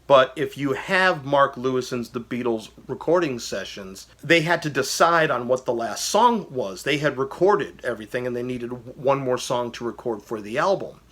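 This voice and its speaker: A man's voice: medium pitch, expressive, normal speed, few pauses, a bit bright. A man talking casually about a favorite album, enthusiastic and rambling, with lots of fillers and restarts. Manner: briefly sings lyric snippets. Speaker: vlogger reviewing albums. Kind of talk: casual personal music commentary.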